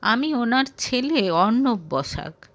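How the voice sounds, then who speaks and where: A man's voice: extremely high pitch, very animated, slow, a bit bright, no echo, heavily acted. Woman voicing a sulky, wounded young male boss. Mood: bitter, resolute. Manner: pleading, sulky like a child. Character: distraught young male boss, childlike, hurt and stubborn.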